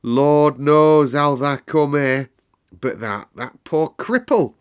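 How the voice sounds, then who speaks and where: A man voicing a shaken, tender old gardener in a storybook, hoarse and halting. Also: a little high, fairly even intonation, voice pushed up. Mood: shaken, astonished. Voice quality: hoarse, shaky, quavering. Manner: halting, rustic dialect. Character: astonished, tactless old gardener, tender underneath.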